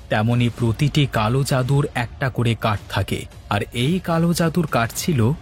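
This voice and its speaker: A man voicing a gentle, wronged young landlord's son who tells his tale earnestly in a cartoon. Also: mid-range voice, a little hiss or hum, close to an everyday voice. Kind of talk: Bengali cartoon storytelling dialogue.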